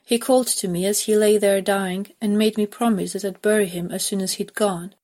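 A woman's voice: mid-range voice, fairly even intonation, brisk, a little mellow. A woman narrating a dramatic story as a reader.

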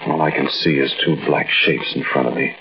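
An American man playing a frightened robber who narrates a night heist in a halting, conversational 1940s radio drama style. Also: very deep voice, steady tone, crowd noise. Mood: fearful, weary. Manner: conversational, brooding, halting storytelling. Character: uneasy, frightened male bank robber recounting a night heist. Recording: muffled, noisy old-time radio recording.

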